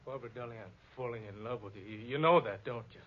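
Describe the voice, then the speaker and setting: An actor playing a haughty, hot-headed sculptor with an intense, melodramatic manner in 1940s radio style. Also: male voice, mid-range voice, fast, close to an everyday voice. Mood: ardent, intense. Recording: dull old radio recording.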